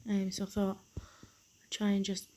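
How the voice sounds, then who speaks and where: A young woman, a nail technician, narrating a tutorial in a soft half-whisper with a slightly croaky voice. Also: mid-range voice, monotone, unhurried. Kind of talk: tutorial voice-over.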